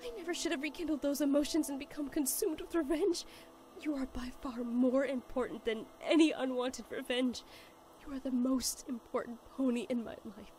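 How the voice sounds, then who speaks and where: A woman playing a loving, remorseful mother pony in an animated drama, speaking in an earnest, pleading way. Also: high-pitched, moderate pace, lightly acted. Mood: tearful remorse. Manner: earnest, emotional, apologetic. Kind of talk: animated fan audio drama acting.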